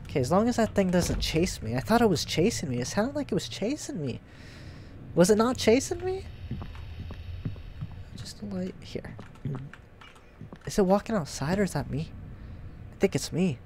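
A man's voice: high voice, huge pitch swings, moderate pace, pauses often. Stuffy young guy playing a horror game, voice swings all over, bit of hiss. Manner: chatty and jumpy, reacting out loud to scares. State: stuffy nose. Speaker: gaming YouTuber. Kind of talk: horror game let's play commentary. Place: home gaming room, indoors.

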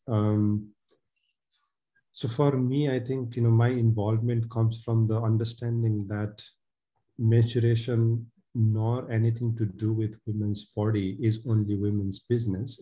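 Very dark, flat-voiced man. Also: lowish voice, rough recording. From Nepal. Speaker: panelist, advocacy co-director. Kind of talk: webinar panel talk. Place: home room on a video call. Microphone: headset.

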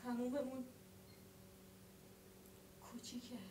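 An actress playing a lonely young woman waiting for her brother, speaking in a theatrical monologue of short, halting phrases. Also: high-pitched, monotone, background noise, close to an everyday voice. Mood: hushed, wistful. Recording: roomy sound from a distant mic.

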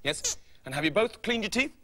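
A man's voice: sits high, sing-song, a little fast, background noise. A man playing a friendly, flustered presenter in a children's puppet show, explaining things to viewers. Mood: busy, patient.

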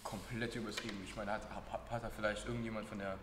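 A man's voice: fairly low pitch, little pitch movement, fast talker, noisy background. Teen boy, hesitant and stammering, in a reverberant classroom. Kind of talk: amateur short film acting. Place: classroom indoors.

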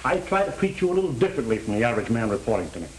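An actor playing a stern but fair middle-aged parole officer in a 1930s crime film. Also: male voice, mid-range voice, sing-song, very fast, lightly acted. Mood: firm, lenient. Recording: roomy, hissy old film soundtrack.

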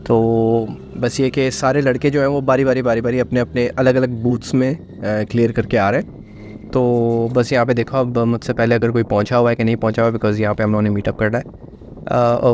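A man in his thirties, a motorcycle vlogger, chatting in a relaxed way while riding on a highway and mixing English words into his talk. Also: normal speed. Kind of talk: casual travel narration while riding. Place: on a motorcycle on a desert highway, outdoors. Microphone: helmet or action-camera mic. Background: faint wind and engine noise.

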